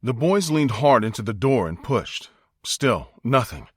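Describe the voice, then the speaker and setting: A male audiobook narrator with an American accent reads dramatic scripted prose. His delivery is measured and suspenseful, with lively pitch movement, and the sound is dry and close. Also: husky, no room sound.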